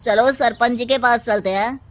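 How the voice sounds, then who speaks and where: A woman playing an excitable villager with urgent news in a cartoon story. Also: on the high side, moderate pace, natural voice. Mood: alarmed, urgent. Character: excitable village man bringing alarming news.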